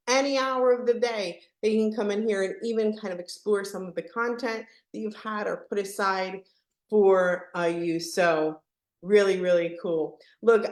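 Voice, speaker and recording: A woman with a mid-range voice speaks in a noticeably echoey room. She presents like a live webinar host, upbeat and chatty. She keeps checking in with her listeners as she sells the idea. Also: mid-range voice. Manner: chatty, peppered with "right?" tags. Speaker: presenter hosting an online product webinar. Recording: echoey, roomy sound.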